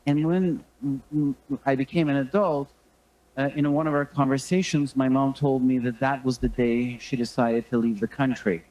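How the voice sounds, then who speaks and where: A man in his fifties from Iran giving an earnest personal testimony in a hesitant, halting way. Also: medium pitch, fairly even intonation, warm tone, poor audio. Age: 50s. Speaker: public speaker giving testimony.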